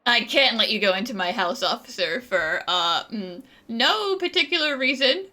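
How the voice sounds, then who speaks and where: Bright, animated woman gaming streamer. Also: fairly high pitch, normal speed. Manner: playful, bantering, talks back to characters. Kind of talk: casual playthrough reaction commentary.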